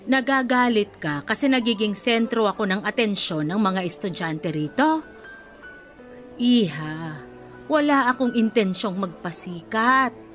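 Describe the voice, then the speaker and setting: A woman playing a kindly, apologetic older student in a radio drama, speaking in a gentle, motherly and patient way. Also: fairly high pitch. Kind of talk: radio drama acting in Filipino. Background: music bed.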